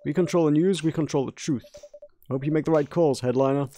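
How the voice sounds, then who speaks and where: A man's voice: on the high side, a little fast, dark tone, a little hiss or hum, natural voice. A man voicing a stern, calculating newspaper editor in a game, reading the lines aloud in a matter-of-fact way.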